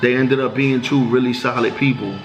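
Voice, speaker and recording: A man tells a story in a relaxed, slangy way. He speaks at an ordinary pitch for a man and acts out bits of dialogue as he goes. Music plays clearly underneath. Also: fairly quick, low-quality recording. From New York. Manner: laid-back street slang, acts out quoted dialogue. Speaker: channel host telling prison stories. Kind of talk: casual storytelling monologue.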